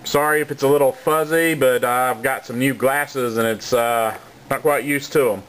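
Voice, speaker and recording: A man's voice: mid-range voice, fairly even intonation, moderate pace, fairly bright tone, clear, resonant tone. Congested man giving a casual hobby update. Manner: rambling, frank, with many fillers and restarts. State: congested from a cold. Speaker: hobby gaming channel host.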